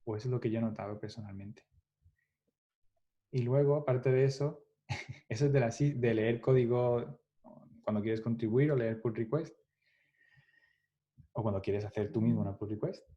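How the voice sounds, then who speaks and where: Mellow-voiced man, thoughtful. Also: mid-range voice, normal speed, pauses often. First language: Spanish. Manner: reflective, restarts phrases often, laughs lightly. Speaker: guest developer. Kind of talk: casual podcast interview. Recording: dull lo-fi podcast audio.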